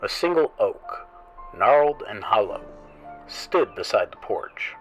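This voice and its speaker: Slow, hushed male horror narrator. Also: fairly low pitch, big pitch swings, soft background music. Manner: slow, theatrical, dropping to hushed whispers. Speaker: horror show host and narrator. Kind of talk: scripted horror story narration.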